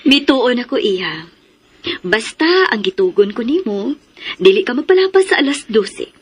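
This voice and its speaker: A doting but gently strict Filipino mother with a Cebuano accent in a radio drama. Her high voice is lively as she coaxes in motherly fashion. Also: normal speed, slightly rough. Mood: gently cautioning. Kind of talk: Philippine radio drama acting.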